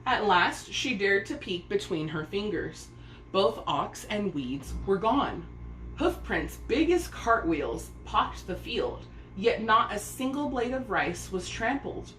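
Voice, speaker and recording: A woman's voice: medium pitch, very animated, slow, fairly bright tone, soft background music. A woman reading a children's story aloud, narrating expressively in a room with echo and faint noise. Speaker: storybook reader.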